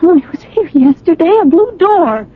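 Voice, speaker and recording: A woman's voice: very high-pitched, big pitch swings, normal speed. An actress playing a lovelorn, anxious young woman in a radio drama, earnest and wistful. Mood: pleading, agitated. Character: lovelorn, anxious young woman adrift abroad, narrating her story. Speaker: lead actress and narrator. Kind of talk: radio drama acting and narration. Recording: narrow-band old radio broadcast, music.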